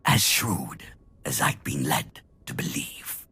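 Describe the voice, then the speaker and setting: Immortal dark villain, male, very husky, swooping intonation, arrogant, theatrical, game cutscene. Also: a bass voice, very animated, dry recording, full character voice. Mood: smug amusement. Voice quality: gravelly, husky. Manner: theatrical, condescending, drawn-out. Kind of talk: dramatic video game acting.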